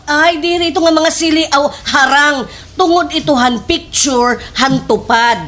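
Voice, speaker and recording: A woman with a Waray Filipino accent acting an excitable, gullible village sidekick in an exaggerated slapstick radio comedy style. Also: high-pitched, measured pace. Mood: explaining, fretful. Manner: exclamatory, exaggerated.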